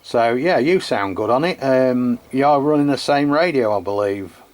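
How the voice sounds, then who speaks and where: A man's voice: mid-range voice, lively intonation, normal speed, very noisy. A man chatting casually as he hosts a hands-on radio equipment test. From England. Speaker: radio channel host testing equipment.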